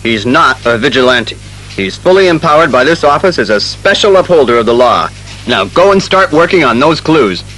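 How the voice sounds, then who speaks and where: A man playing an authoritative, easily exasperated police commissioner, brisk and official, in a superhero audio drama. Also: mid-range voice, big pitch swings, fairly bright tone, faint music behind, low-quality recording. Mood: exasperated, stern. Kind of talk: superhero radio drama acting.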